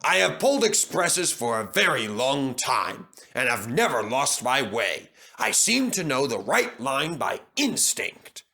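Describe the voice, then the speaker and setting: A man's voice: medium pitch, very animated, measured pace, creaky, few pauses, bright, forceful tone, full character voice. A man voicing a proud, boastful big express engine with a pompous manner.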